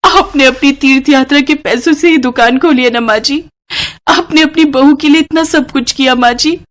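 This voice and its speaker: A woman's voice: high-pitched, moderate pace, pitched above the performer's own voice, lightly acted. A woman voicing a meek, hopeful young daughter-in-law in a cartoon moral story, with an earnest, deferential way of talking. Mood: tearful gratitude.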